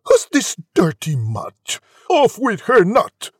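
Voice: medium pitch, huge pitch swings, takes it slowly, darkish tone, husky, no echo, clean studio sound, heavily acted. A narrator voicing a haughty, bloodthirsty young male prince in a declamatory, imperious storybook manner. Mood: contemptuous.

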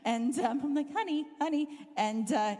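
A middle-aged woman with a Southern Californian accent softened by years in the UK, preaching as a guest speaker and telling a funny story about herself. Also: sits high, normal speed. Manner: animated, self-deprecating, laughs often. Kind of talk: humorous storytelling sermon. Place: church hall. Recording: hall reverb from a live church talk.

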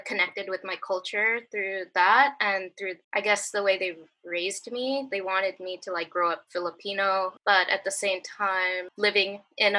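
A woman with a bright, forceful voice talking casually in a group chat. Her speech stops and starts, full of "like" and "um" as she searches for words. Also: mid-range voice, poor audio. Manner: hesitant, full of "like" and "um". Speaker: guest, artist and photographer.

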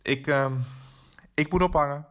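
An actor playing an awkward, guarded newsroom colleague in a naturalistic audio drama, halting and apologetic, with a slightly husky voice. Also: male voice, fairly high pitch, steady tone, close to an everyday voice. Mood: apologetic, uneasy.

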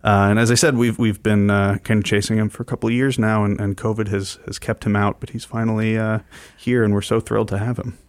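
Low-voiced man co-hosting, dry studio sound. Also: level tone, no room sound. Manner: hesitant, frequently pausing and repeating words. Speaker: radio co-host and festival programmer. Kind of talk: informal radio program talk, explaining events.

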